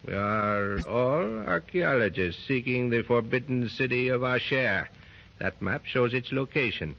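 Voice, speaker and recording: Villain, male: cold menacing schemer, gravelly edge, lo-fi 1930s radio. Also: medium pitch, expressive, measured pace. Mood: scheming. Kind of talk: 1930s radio drama acting. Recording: muffled 1930s radio recording.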